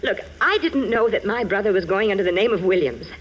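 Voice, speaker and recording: Pleading radio-drama heroine, lively intonation. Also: female voice, medium pitch, brisk, husky, lo-fi sound. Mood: distraught. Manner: earnest, melodramatic. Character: June, anguished, pleading young woman in trouble. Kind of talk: 1940s radio drama acting. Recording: hissy vintage radio recording.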